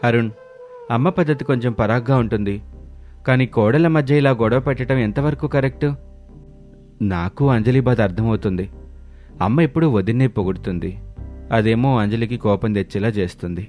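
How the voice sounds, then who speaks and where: A man playing a concerned, earnest grown son in an animated family story, reasoning with his brother. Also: mid-range voice, a little fast, pauses often, close to an everyday voice. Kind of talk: animated moral story dialogue. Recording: voice over prominent music bed.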